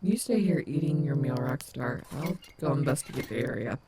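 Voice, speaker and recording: A woman's voice: a bass voice, expressive, normal speed, dark tone, a little hiss or hum. A woman playing a confident, protective animatronic wolf in an audio roleplay, sarcastic but tender toward her companion. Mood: gentle, caring.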